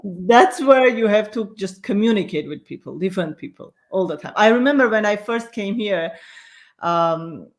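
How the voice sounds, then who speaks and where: A woman's voice: medium pitch, lively intonation, normal speed. A middle-aged woman from Armenia with an Armenian accent, speaking reflectively in an interview and often searching for words.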